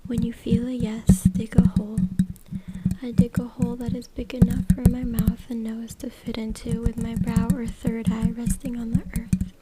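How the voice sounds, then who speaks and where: A woman whispering breathily and softly in an ASMR style as she reads aloud, close to a USB microphone. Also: a little high, completely flat delivery, normal speed. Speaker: ASMR content creator. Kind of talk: ASMR whispered reading from a book. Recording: very noisy close USB mic, clicks. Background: loud noise, tapping and scratching clicks.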